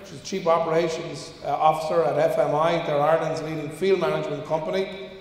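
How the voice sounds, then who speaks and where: A man's voice: a little high, fairly even intonation, fairly quick. A man serving as conference host, giving a plain, brief stage introduction. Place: conference hall. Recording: echoey hall from a distance.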